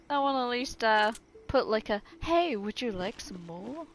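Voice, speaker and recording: A woman's voice: a little high, noisy background. A woman giving casual live gameplay commentary as a streamer, playful and sweary, often talking to herself. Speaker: video game streamer. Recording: game music behind the voice.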